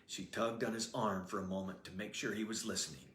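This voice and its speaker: A man reading his own novel aloud as storybook narrator, expressive and lively. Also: lowish voice, quick pace, a little hiss or hum. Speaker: author reading his book. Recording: roomy echoey room.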